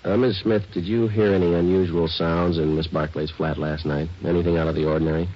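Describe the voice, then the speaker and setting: An American man playing a terse, matter-of-fact police detective who narrates his case in a deadpan radio drama style. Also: low-pitched, fairly quick. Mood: businesslike. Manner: deadpan, businesslike, understated. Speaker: narrator and lead actor of a detective radio series. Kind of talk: old-time radio detective drama, clipped scripted narration. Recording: dull old radio recording with music.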